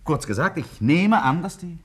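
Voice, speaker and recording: A man's voice: fairly high pitch, animated, moderate pace, natural voice. A man acting a self-assured scientist in a 1950s German radio play, arguing didactically and emphatically with slight irony. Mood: emphatic. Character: Kingsley, self-assured male scientist arguing a bold theory.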